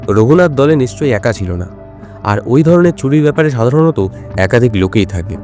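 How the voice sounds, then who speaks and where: Male actor as sharp-witted detective, audio drama, relaxed mellow tone, measured explanations, faint music. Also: mid-range voice, lightly acted. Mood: measured, deductive. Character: shrewd, self-assured male detective explaining his deductions. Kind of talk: Bengali audio drama dialogue.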